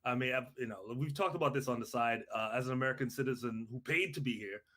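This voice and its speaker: A man's voice: medium pitch, fast talker, a bit bright. A man from Trinidad co-hosting a podcast, talking casually and musing informally. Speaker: podcast co-host. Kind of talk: casual chat.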